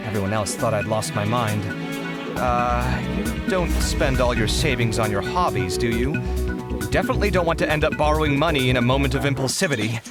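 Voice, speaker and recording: A man acts out a young male anime game hero, an earnest architect with theatrical flair. His pitch swings widely and expressively, and loud music plays right behind him. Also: animated, brisk, natural voice. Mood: earnest, dramatic. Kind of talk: anime game character demo voice acting. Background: loud music from game trailer.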